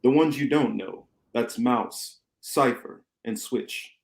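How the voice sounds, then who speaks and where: A man playing a calm, wise rebel ship captain in an amateur radio play, measured and explanatory, recorded in an echoey room. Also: medium pitch, vocal fry. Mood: measured, assured.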